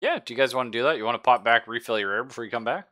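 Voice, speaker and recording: Bright-voiced man running a tabletop game. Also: mid-range voice, very fast. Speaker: game master. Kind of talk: casual narration of a tabletop roleplaying game, live stream.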